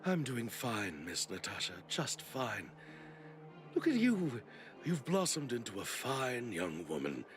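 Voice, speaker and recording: A man's voice: medium pitch, wildly swooping pitch, takes it slowly, soft background music. A man playing a courteous, devoted older family caretaker in a drama, speaking in a formal, kindly way. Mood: fond, wistful. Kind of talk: dramatic voice acting.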